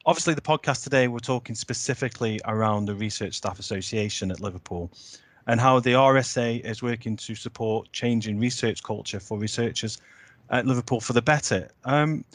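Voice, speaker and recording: A man's voice: medium pitch, fairly bright tone, dry recording. A man hosting a podcast panel, enthusiastic and repeating words as he frames the topic. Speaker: podcast host.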